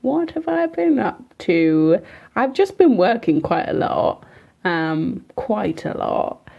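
A woman's voice: mid-range voice, sing-song, slow, creaky, highs cut off. A young woman co-hosting a podcast in casual chat, laughing often and swearing freely. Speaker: podcast co-host.

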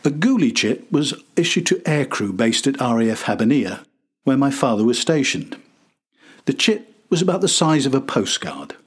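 A man's voice: medium pitch, sing-song, normal speed, husky. An older man narrating a scripted history podcast as its host.

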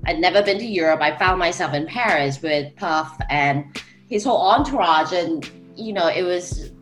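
A woman's voice: on the low side, lively intonation, moderate pace, poor audio. A woman from Singapore, a music executive, talking casually on a panel with many "like"s and "you know"s. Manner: chatty, filler-heavy with "like" and "you know".

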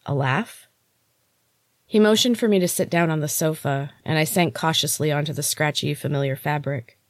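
A woman's voice: deep voice, moderate pace, no echo, studio quality. A woman hosting a story podcast and reading fiction aloud as a narrator. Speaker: author and podcast host. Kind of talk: storytelling narration of own novel.

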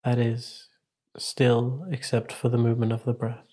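Slow, soft-edged man guiding meditation. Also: mid-range voice. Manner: calm, measured, instructive with long pauses. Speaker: meditation teacher. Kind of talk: guided meditation.

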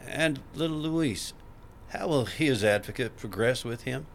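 An actor playing a gentle, scholarly colleague in an audio drama, speaking in a hesitant, politely precise way. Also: male voice, medium pitch, lively intonation, unhurried, creaky, slight background noise. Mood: gently probing. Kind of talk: naturalistic audio drama acting.

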